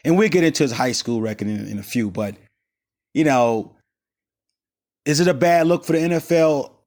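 A man hosts his sports talk show with a raspy, worn voice as he gets over a stomach bug. He talks loosely and rambles, full of fillers and restarts, at an ordinary middle pitch. He is recording on a cell phone rather than in a studio. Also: lively intonation, normal speed, darkish tone. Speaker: sports podcast host. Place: not in the studio.

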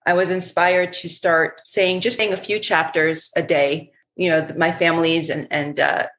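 A woman co-hosting a podcast who talks reflectively and often pauses to search for the right words. Her voice sits a little low. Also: fairly even intonation, a bit bright. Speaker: podcast co-host. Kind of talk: casual conversation.

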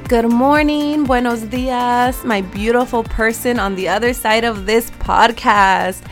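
A woman in her thirties talks warmly and brightly into a podcast, her fairly high voice a little nasal and raspy. She is upbeat and affirming, with casual slang. Soft music plays faintly behind her. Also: measured pace. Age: 30s. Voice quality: slightly nasal and raspy today. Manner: bubbly, affirming, with slang and laughs. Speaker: podcast host and soul mentor. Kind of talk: upbeat solo podcast talk.

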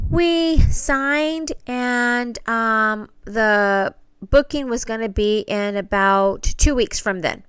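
A woman host casually telling personal stories in a chatty, meandering, excitable way. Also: fairly high pitch, clear, resonant tone, no echo.

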